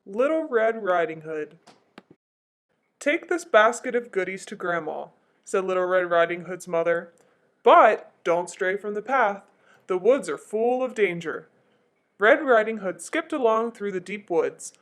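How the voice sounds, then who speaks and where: A woman's voice: mid-range voice, expressive, a little slow, voice pushed down, natural voice. A woman voicing a tired, patient father chicken reading bedtime stories in a children's picture book read-aloud. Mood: storytelling.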